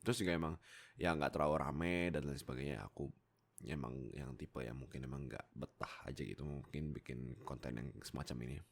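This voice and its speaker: A young man with a low-pitched voice and an Indonesian accent talks casually into a desktop mic. He tells personal stories in a loose, digressive way, wandering off and circling back. His manner is chatty and self-deprecating, like a content creator speaking to viewers. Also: a little fast, vocal fry, breathy edge. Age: young adult. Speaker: YouTube content creator and amateur voice actor. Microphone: Fifine K690 desktop USB microphone.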